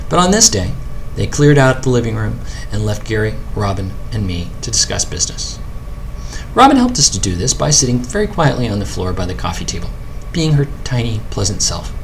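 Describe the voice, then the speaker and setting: A man's voice: medium pitch, low-quality recording. American man reading aloud over background noise. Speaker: author reading own audiobook. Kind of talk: scripted narration of a first-person memoir.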